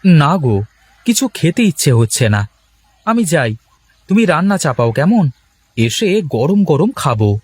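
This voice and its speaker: Cartoon village fisherman played by a man: weary, grumbling yet teasing husband, highish husky voice. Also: very animated, unhurried, long pauses, a little mellow, dry recording, heavily acted. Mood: easygoing. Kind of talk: Bengali cartoon moral-story dialogue.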